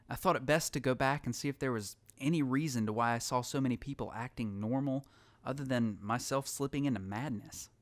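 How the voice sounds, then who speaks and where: A man's voice: medium pitch, lightly acted. An actor playing a troubled lone survivor, narrating his experiences in an introspective first-person audio drama style. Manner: introspective, storytelling. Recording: clearly noisy background ambience.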